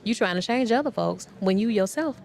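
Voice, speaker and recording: A woman's voice: mid-range voice, animated, fairly quick, gravelly, darkish tone, slight background noise. A woman co-hosting a church talk segment, chatting casually and giving relationship advice. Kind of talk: casual chat.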